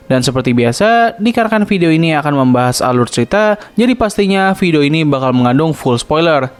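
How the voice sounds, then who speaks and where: A young man hosting a cartoon review channel, telling the plot in a casual, slangy scripted narration with English fillers. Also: on the high side.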